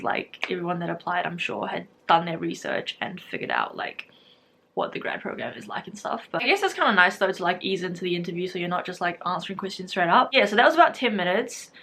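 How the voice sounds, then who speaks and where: A woman's voice: fairly low pitch, fairly bright tone, slight background noise. A young woman in her twenties with a New Zealand accent, chatting casually to camera, full of fillers and frequent laughs. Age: 20s. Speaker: vlogger.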